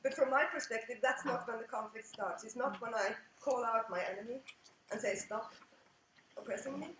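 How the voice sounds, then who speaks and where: A woman's voice: on the high side, moderate pace. A woman speaking from a personal perspective, giving a firm, critical comment at a conference. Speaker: conference participant. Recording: distant, echoey, loud noise.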